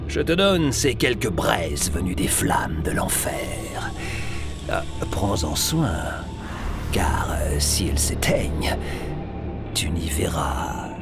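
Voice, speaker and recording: A man playing a mocking, furious Devil in an audio drama, theatrical and drawn-out, gravelly, dropping into whispers. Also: lowish voice, exaggerated voice. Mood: amused, exasperated. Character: mocking, furious Devil bargaining with an old rogue. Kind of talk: French audio fiction acting.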